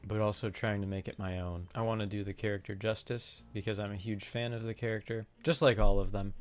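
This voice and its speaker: A man presenting a vlog to his viewers as an amateur voice actor. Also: fairly low pitch. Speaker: video creator and amateur voice actor.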